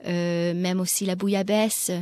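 Lady with an australian accent talking in a radio studio, dry close sound, lots of fillers, quick bursts. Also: fairly low pitch, level tone, a little mellow, no room sound. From France. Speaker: festival organizer. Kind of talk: radio interview.

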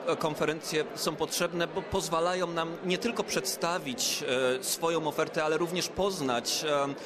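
A man representing his company, answering interview questions hesitantly with many filled pauses. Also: fairly high pitch, noisy background. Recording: crowd chatter behind.

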